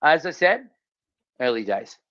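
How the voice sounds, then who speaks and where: A man's voice: fairly high pitch, very animated, measured pace, bright, forceful tone. A man with an Australian accent co-hosting a casual sports podcast, talking emphatically and often repeating his phrases. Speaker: podcast co-host. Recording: slightly dull lo-fi audio.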